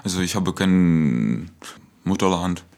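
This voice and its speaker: A man, speaking earnestly and halting as he searches for words. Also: low-pitched, animated, gravelly, darkish tone. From Russia. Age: 20s. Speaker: interviewee. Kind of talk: documentary interview.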